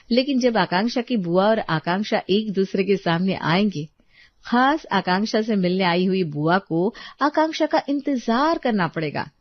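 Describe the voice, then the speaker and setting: A woman's voice: medium pitch, no echo. A woman narrating a scripted story, recorded with a dull sound.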